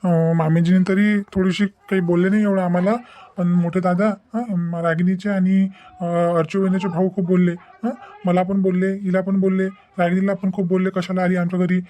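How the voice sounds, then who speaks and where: A man voicing an aggrieved young husband in an animated family drama, halting and repetitive. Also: sits high, natural voice. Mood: hurt, reporting. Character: aggrieved young husband recounting insults from his in-laws.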